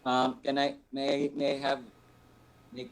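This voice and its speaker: Male art instructor, Filipino accent, medium pitch. Deliberate, explanatory delivery in an informal Q&A, with searching pauses. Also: monotone. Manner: deliberate, explanatory, pausing to find words. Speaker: art instructor answering audience questions. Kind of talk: informal question-and-answer teaching.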